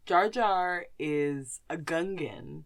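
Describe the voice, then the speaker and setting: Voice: mid-range voice, big pitch swings, extremely slow. A podcast host in casual fan chat, gushing and slangy, with frequent swearing.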